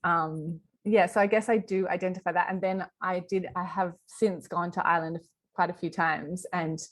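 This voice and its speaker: A woman's voice: on the low side, steady tone, normal speed. A woman from Western Sydney with an Australian accent, chatting informally in an interview and thinking aloud as she goes. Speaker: company executive being interviewed. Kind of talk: casual interview chat.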